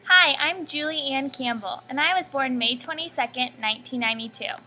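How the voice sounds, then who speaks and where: Bright high-voiced young woman reading aloud. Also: fairly quick, a little hiss or hum. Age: young adult. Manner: steady scripted recitation. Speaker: student presenter. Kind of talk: reading a school family-history presentation.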